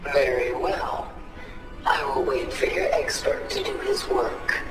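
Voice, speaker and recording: Curt, menacing warlord over music. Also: female voice, very deep voice, wildly swooping pitch, takes it slowly, echoey room, heavily acted. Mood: cold, impatient. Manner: curt, threatening. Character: commanding, impatient, menacing female warlord.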